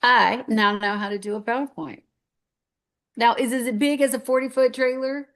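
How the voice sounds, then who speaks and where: A woman's voice: fairly high pitch, fairly even intonation, normal speed, a bit bright. A woman in her fifties telling a heartfelt personal story on stage, earnest and rambling, with many restarts. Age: 50s. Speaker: guest speaker.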